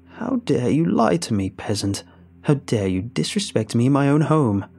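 A man's voice: medium pitch, very animated, normal speed, vocal fry, warm tone, breathy edge, soft background music, exaggerated voice. A man voicing a cruel old witch who hoards cats and sneers at her captive. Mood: furious scorn. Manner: hissing, contemptuous, calling people peasant. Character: cruel ancient witch, cat-hoarding hag.

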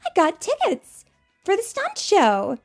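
A woman reading aloud and voicing an excitable young female police detective, eager and gushing. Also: high voice, measured pace, soft background music, voice pushed up, full character voice. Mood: excited.

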